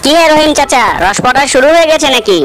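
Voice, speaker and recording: A woman's voice: high voice, lively intonation, fast talker. A woman voicing a practical, bossy village housewife in a cartoon, with music behind her. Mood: friendly. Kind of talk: Bengali cartoon dubbing.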